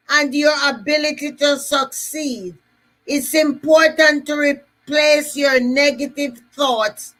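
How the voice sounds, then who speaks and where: A woman's voice: high voice, fairly bright tone, rough recording. A woman with a Jamaican accent giving an emphatic, repetitive motivational talk to listeners. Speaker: motivational speaker.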